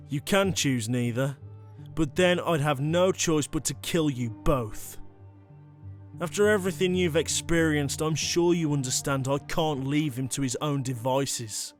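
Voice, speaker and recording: A man's voice: a little high, lightly acted. A man playing an enigmatic former vampire turned hunter in an audio roleplay, speaking in a coolly conversational, teasing way. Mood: playful menace. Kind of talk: ASMR audio roleplay drama. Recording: music bed behind the voice.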